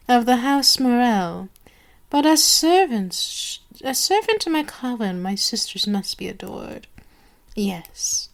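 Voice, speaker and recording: Casting: woman to play a haughty vampire queen for ASMR roleplay, higher-pitched, slow, imperious and condescending. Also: exaggerated voice. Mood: commanding, possessive. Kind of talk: ASMR roleplay monologue to the listener.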